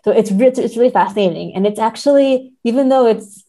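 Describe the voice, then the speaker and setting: A woman's voice: on the high side, fairly quick, mellow. A woman, a podcast guest, discussing books casually in a tentative, thoughtful way with hedged phrasing. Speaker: podcast guest, literary translator.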